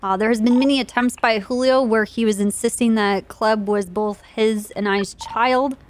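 A woman's voice: fairly high pitch, steady tone, moderate pace, dry recording. Mock-formal young woman prosecuting in roleplay. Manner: mock-formal, addresses the judge as Your Honor. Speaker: roleplay streamer playing a police officer prosecuting in a mock court. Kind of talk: comedic in-character courtroom roleplay.

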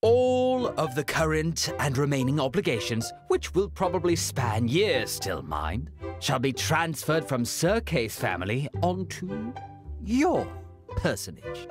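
An actor voicing a smug, menacingly polite financial official, speaking in formal, drawn-out theatrical phrases for a video game. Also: male voice, on the high side, very animated, over music. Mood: gloating formality.

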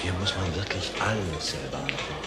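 An actor playing a grumpy, sarcastic desk clerk in a radio drama, grumbling with mock courtesy. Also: male voice, low voice, fairly quick, music in the background, natural voice.

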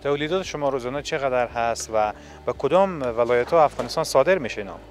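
A man's voice: medium pitch, animated. Male field reporter, thirties, mid-range voice, handheld mic on a factory floor. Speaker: television reporter. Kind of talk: on-location news interviewing. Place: large indoor factory hall. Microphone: handheld reporter microphone.

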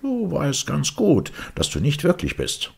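A man's voice: on the high side, wildly swooping pitch, measured pace, gravelly, darkish tone, breathy edge, pitched above the performer's own voice, full character voice. A man voicing a hot-tempered, scornful storybook twin, blustering and indignant.

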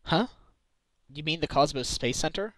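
A man's voice: a little high, lively intonation, normal speed, background noise, close to an everyday voice. A man voicing an earnest, caring defense lawyer in a video game fan dub, with an inquisitive manner. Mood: puzzled. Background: game music.

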